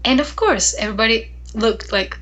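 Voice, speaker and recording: Bright-voiced Greek-born woman singer. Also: on the high side, muffled recording. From Greece. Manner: thoughtful, restarts and pauses mid-sentence. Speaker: professional singer, guest. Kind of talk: casual interview chat.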